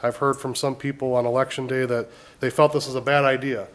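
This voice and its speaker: A man chairing a council meeting as mayor, slightly hoarse from a cold, speaking earnestly and repeating points for emphasis. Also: medium pitch, little pitch movement, brisk. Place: council chambers.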